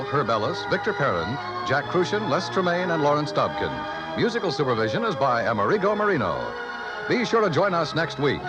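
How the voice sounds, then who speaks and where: A man's voice: fairly high pitch, rough recording. A man announcing a 1950s radio drama, polished and emphatic. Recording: vintage radio broadcast with loud music.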